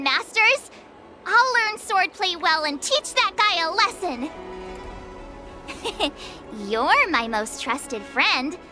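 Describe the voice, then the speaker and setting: An actress playing an eager, naive, excitable teenage apprentice swordfighter in an anime-style video game, with an earnest, plucky delivery. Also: female voice, very high-pitched, heavily acted. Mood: fired up.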